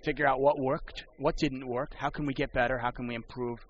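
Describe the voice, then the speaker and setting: A man's voice: medium pitch, steady tone, a little fast, muffled recording, a little hiss or hum. A man who works as a poker and sports broadcaster, chatting casually on a podcast and rambling with many restarts.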